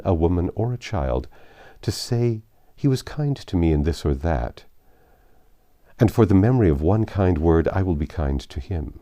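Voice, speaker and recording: Male audiobook narrator: low, highly varied intonation, dramatic and deliberate storytelling, dry close sound. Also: mellow, dry recording.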